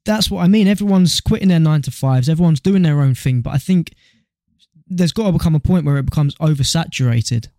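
A young British man talks very quickly in a mellow, slightly high voice. He is a podcast co-host, chatting casually with slangy, sweary opinions. The sound is close and dry. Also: dry recording.

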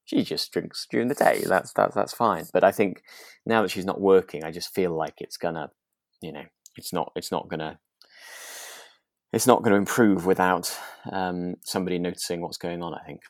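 A man co-hosting a podcast in casual chat, agreeable and a little halting as he works out his thoughts. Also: low-pitched, no room sound, clean studio sound. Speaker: podcast co-host.

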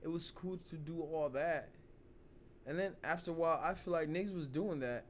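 A man hosting his own vlog, hoarse from losing his voice, rambling loosely in casual talk full of "you know" and "like". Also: on the high side, normal speed, a little hiss or hum. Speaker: vlog host. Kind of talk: casual opinion talk to camera.

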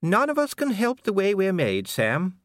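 Male reader as genteel lady, high-pitched, swooping intonation, kindly, gently reproving, polite, storybook wife. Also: huge pitch swings, moderate pace, no room sound, studio quality, full character voice. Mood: gently reproving, uneasy.

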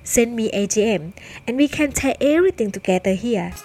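A woman's voice: a little high, expressive, brisk, warm tone. A woman from Thailand with a Thai accent, hosting her own podcast in an upbeat, chatty way. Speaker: podcast host. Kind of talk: casual solo podcast chat.

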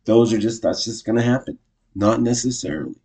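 A man's voice: mid-range voice, little pitch movement, brisk, mellow, husky. A man in his fifties talking casually to camera, rambling and correcting himself often in an upbeat way. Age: 50s. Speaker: vlogger.

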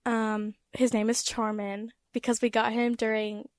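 A teenage high school girl, a guest in a casual interview, chatting with frequent fillers. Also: flat delivery, a little slow, no room sound, studio quality. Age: teens.

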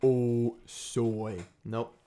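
A man hosting a podcast in casual chat, playfully argumentative and animated, talking into a studio mic. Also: medium pitch, very slow. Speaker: podcast host.

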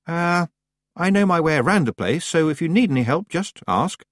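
Man doing a guarded, sensible young witch girl, lively pitch. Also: normal speed, no room sound, clean studio sound, lightly acted. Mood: polite.

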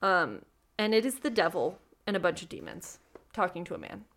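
A woman's voice: lowish voice, animated, brisk, fairly bright tone. Irreverent woman reading folktales casually. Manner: irreverent, sweary commentary. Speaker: podcast co-host reading folktales aloud. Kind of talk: casual storybook reading with joking asides.

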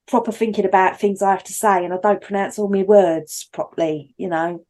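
A woman's voice: medium pitch, fairly even intonation, brisk, warm tone. A woman with a London accent, co-hosting a podcast in casual, rambling chat and laughing often. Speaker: podcast co-host.

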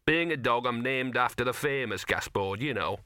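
A man's voice: medium pitch, moderate pace, pushed and bright, clean tone, dry recording, studio quality, natural voice. A man voicing a scruffy talking dog, a knowing, chummy know-it-all with colloquial speech, in a storybook reading. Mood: explaining.

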